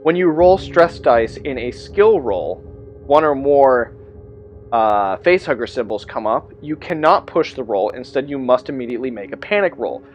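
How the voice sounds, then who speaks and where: Male host, mid-pitched, explaining tabletop rules with informal asides, music underneath. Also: expressive, moderate pace. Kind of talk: rules explainer, part read aloud, part informal commentary.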